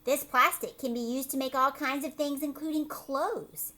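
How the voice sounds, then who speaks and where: A woman's voice: high-pitched, steady tone, moderate pace, bright, forceful tone, voice pushed up. A woman voicing a patient male schoolteacher in a children's storybook, explaining emphatically and prompting with questions. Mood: instructive, encouraging.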